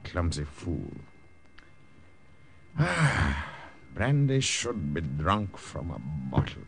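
An actor playing an arrogant, bullying major who barks orders. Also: male voice, sits low, big pitch swings, very slow, creaky, heavily acted. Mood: mocking, drunk. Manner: imperious, sneering, barking orders. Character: arrogant, bullying, brandy-swilling German army major. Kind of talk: old-time radio drama acting. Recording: noisy old radio drama recording.

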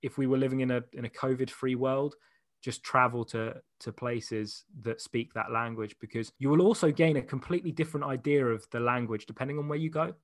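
A man's voice: mid-range voice, no echo, clean studio sound. Chatty guy telling stories. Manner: chatty storyteller, quick to laugh. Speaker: interview guest. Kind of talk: casual chat.

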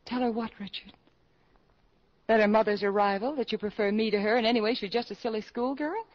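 A woman's voice: medium pitch, close to an everyday voice. An actress playing an anxious, tender mother in love in a 1940s radio drama, earnest, hesitant and pleading. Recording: dull vintage 1940s radio recording.

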